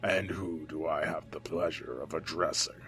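A man's voice: rumbling low, fairly even intonation, normal speed. A man playing a stoic, resolute older military general in a sci-fi audio drama. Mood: defiant, solemn. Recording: loud dramatic music under dialogue. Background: loud music.

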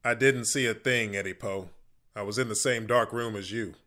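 Man as a huffy, sharp-voiced rich kid. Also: lowish voice, steady tone, moderate pace. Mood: defensive. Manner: huffy, sarcastic. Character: Benjamin, indignant, snobbish rich kid. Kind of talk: comic radio play acting.

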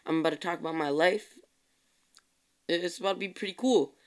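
A tired young man hosts his own casual podcast, rambling along and correcting himself as he goes. His voice sits a little high for a man and comes through smooth and clear. It is relaxed solo chat aimed straight at his listeners. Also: brisk. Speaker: podcast host.

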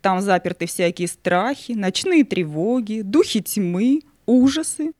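A woman playing the spirit of Night in an audio play, stately and condescending, with a theatrical, drawn-out delivery. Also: mid-range voice, animated, a little mellow, no echo, studio quality, natural voice. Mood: reassuring, sly. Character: Night, stately female spirit guarding her secrets, motherly yet cunning.

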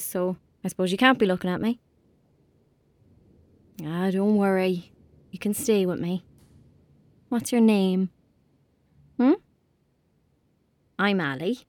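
A woman's voice: mid-range voice, a little mellow, dry recording, close to an everyday voice. Woman playing a lonely young Irish girl, dry close sound. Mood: weary, wary. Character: lonely, anxious young girl in rural Ireland, sullen and imaginative. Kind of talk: naturalistic audio drama acting.

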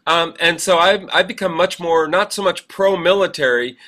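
A man's voice: high voice, normal speed, sharp tone. A man co-hosting a casual conversation, reflective and emphatic, building his point in repeated phrases. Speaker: podcast co-host, military veteran.

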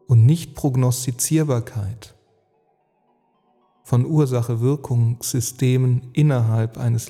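A man delivering a measured scripted monologue in formal, academic, long sentences. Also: mid-range voice, dark tone, long reverb tail. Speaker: narrator giving an essay on climate. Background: music.

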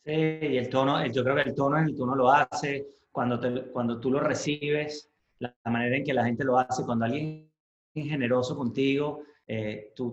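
A Venezuelan man with a mid-pitched voice speaks as an interview guest. He talks casually and haltingly, doubling back on words and dropping in English terms. The sound is roomy and slightly dull. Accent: Venezuelan Spanish. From Caracas, Venezuela. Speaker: interview guest, business professional. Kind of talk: casual conversational interview, personal storytelling.

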